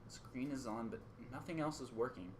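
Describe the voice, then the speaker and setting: A man's voice: mid-range voice, brisk, natural voice. Man as a male news co-anchor, earnest, questioning, radio drama, mid-range voice, faint ambience. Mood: puzzled. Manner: hesitant, questioning. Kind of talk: amateur radio play acting. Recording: faint background noise and ambience.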